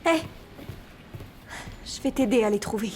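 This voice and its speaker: A woman's voice: a little high, expressive, a little slow, darkish tone, a little hiss or hum. A woman voicing a serious, subdued, tomboyish teenage girl in a French game dub. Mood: earnest.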